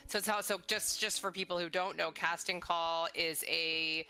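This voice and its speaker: Female, middle-aged, bright crisp-edged tone, informal interviewer, light music underneath. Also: lowish voice, fairly even intonation, a little slow, rough recording. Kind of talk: casual conversational interviewing.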